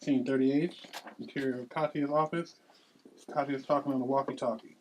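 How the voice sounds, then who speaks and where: A man serves as narrator for a table read. He reads screenplay headings and action lines in a medium-pitched voice. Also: fairly even intonation, fairly quick, a little mellow, slight background noise, rough recording. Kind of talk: reading screenplay directions aloud.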